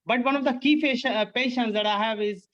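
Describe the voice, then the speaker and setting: High-voiced Indian man on a call. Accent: Indian English. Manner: earnest, halting with many fillers and restarts. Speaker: guest, online English teacher. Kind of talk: casual video-call chat. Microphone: video call. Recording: slightly dull, lo-fi call audio.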